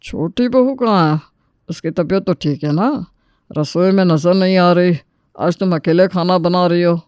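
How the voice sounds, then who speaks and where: A man's voice: high voice, measured pace, darkish tone, smooth, no room sound, studio quality. A man playing an elderly, reasonable but exasperated father-in-law who admonishes and pleads for peace in a family story. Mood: concerned.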